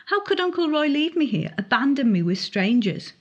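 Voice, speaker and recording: A woman's voice: high voice, very animated, normal speed, lo-fi sound, voice pushed up. A woman voicing a grieving, anxious teenage girl in a story, hesitant and plaintive, stumbling over her words. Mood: lonely, aggrieved.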